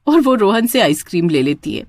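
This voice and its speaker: A woman storyteller reading a scripted tale. Her delivery is lively in pitch, with a medium-high female voice and a close, dry sound. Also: fairly quick, no room sound.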